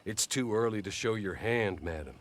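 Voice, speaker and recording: An actor playing a formal, persuasive, scheming fixer in a Western game. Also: male voice, on the low side, expressive, moderate pace, lightly acted. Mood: urgent persuasion. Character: smooth-talking, scheming gentleman fixer, man. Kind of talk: game cutscene acting.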